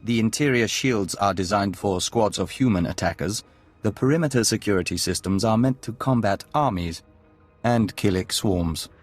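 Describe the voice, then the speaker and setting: A man plays a gentle envoy of an insect hive in a sci-fi game. The voice is low, measured and formal, with precise wording and music underneath. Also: lightly acted. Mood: earnest, formal. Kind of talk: video game voice acting.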